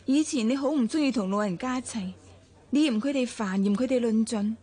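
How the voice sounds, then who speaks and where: An actress playing a weary, reserved estranged wife in a Cantonese radio drama, serious and restrained, with a Hong Kong accent. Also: female voice, fairly high pitch, fairly quick, warm tone, husky. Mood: wistful, resigned.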